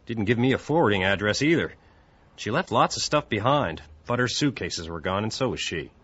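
An actor playing a matter-of-fact building superintendent in an audio drama, with clipped, folksy, casual phrasing. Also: male voice, fairly low pitch, lively intonation, brisk, fairly bright tone, breathy edge. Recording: dull lo-fi audio.

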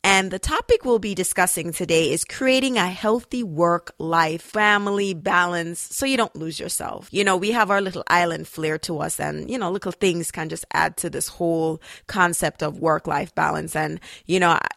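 A woman's voice: on the low side, normal speed, creaky, few pauses, a bit bright, no echo, studio quality. A woman hosting a podcast and interviewing a guest, bubbly and enthusiastic, with plenty of casual fillers. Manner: bubbly, enthusiastic, many "you know"s. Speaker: podcast host.

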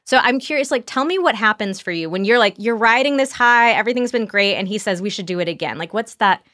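A woman's voice: mid-range voice, expressive, fast, fairly bright tone, dry recording, clean studio sound. A woman working as a sex therapist, asking probing questions and reflecting feelings back in a conversational session. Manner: probing, affirming, paraphrases the other person's feelings.

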